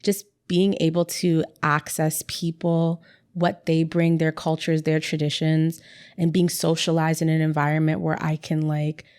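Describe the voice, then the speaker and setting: A young woman talks through a relaxed interview in a lowish voice. Her speech is loose and chatty, full of "like" fillers and repeated words. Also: unhurried, clean tone. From Canada. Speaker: interview guest, writer. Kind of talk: casual conversational interview.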